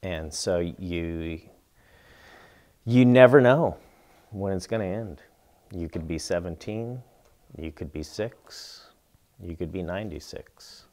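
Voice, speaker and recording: A middle-aged man seated in a small studio, answering interview questions in a thoughtful, measured way with pauses and restarts. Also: low-pitched, darkish tone. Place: indoor studio before a plain backdrop.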